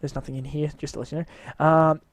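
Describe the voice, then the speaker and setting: Tired man in a dry booth, high voice, hesitant rambling tour of his build, pokes fun at himself. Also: flat delivery, brisk, no room sound. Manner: rambling, hesitant, self-mocking. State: tired, voice wearing out. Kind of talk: casual rambling walkthrough commentary.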